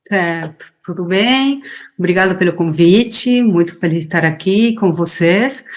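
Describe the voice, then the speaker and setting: A woman's voice: lowish voice, expressive, unhurried. A woman from Argentina with an Argentine Spanish accent, a native Spanish speaker giving an interview as a guest, talking thoughtfully with many fillers, restarts and tag questions. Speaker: interview guest, poet and activist. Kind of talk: reflective interview talk.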